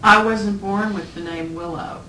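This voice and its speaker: A woman speaks earnestly in casual talk with a small group. Her bright voice sounds off-mic in an echoey room, with faint tape hiss. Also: medium pitch, steady tone, normal speed, distant mic. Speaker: group participant. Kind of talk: casual conversation.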